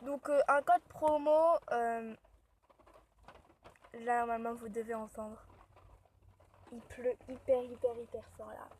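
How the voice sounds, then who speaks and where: A woman's voice: fairly high pitch, steady tone, slow, long pauses, darkish tone, a little hiss or hum. A woman with a cold and a sore throat, chatting and rambling casually to her viewers from inside a car. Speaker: vlogger. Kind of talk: casual vlog chat. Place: inside a parked car, rain outside.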